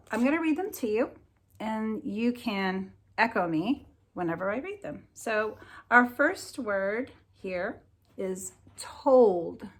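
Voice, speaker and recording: Slow, lively-pitched woman teacher reading aloud. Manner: deliberate enunciation with expressive storybook emphasis. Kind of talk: slow expressive read-aloud lesson for children.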